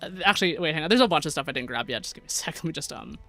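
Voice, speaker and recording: Bright giggly American woman streaming games. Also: deep voice, animated, rapid-fire, dry recording, soft background music. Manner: giggly, rambling, reads chat aloud. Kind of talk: casual gameplay livestream chat.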